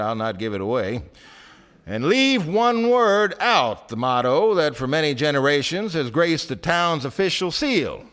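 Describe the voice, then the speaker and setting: An actor playing a wry storyteller, narrating a radio drama on stage with theatrical emphasis and measured pauses. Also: male voice, a little high, fairly bright tone, heavily acted. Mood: solemn, wry. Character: wry, dramatic storyteller of a small-town tale. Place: theatre stage before a live audience.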